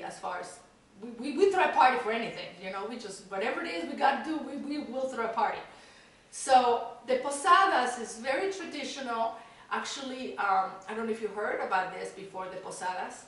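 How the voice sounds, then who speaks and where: A woman's voice: on the high side, fairly bright tone. A woman chatting in an upbeat way to camera as a cooking show host. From Mexico. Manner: upbeat, chatty, gesturing as she talks. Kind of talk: casual chatty presenting to camera. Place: home kitchen, indoors. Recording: very echoey room, distant camera mic.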